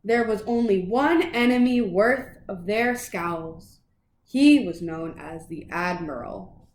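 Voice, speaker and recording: A woman reading a children's picture book aloud in an expressive, dramatic way for young listeners. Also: mid-range voice, slow, reverberant, low-quality recording. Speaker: children's storybook reader.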